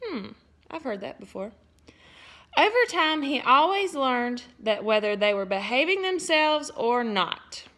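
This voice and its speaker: Bright, expressive woman reading aloud. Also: sits high, measured pace. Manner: expressive, with playful asides to listeners. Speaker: teacher. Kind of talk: storybook read-aloud for children.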